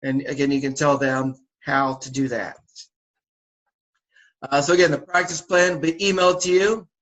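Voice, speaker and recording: A man with a slightly raised pitch, speaking over a video call as he walks volunteer coaches through league details. He sounds a little sniffly from a sore throat. His delivery is loose and instructive, full of "uh"s and repeated "again"s. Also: normal speed, poor audio. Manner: repetitive, relaxed and instructive, many fillers. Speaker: youth basketball league organizer. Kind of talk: coaches' orientation briefing.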